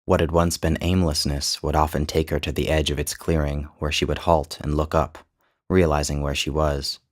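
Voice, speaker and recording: A man narrating his own literary short story in a measured, descriptive storytelling manner. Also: extremely low pitch, flat delivery. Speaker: author and narrator.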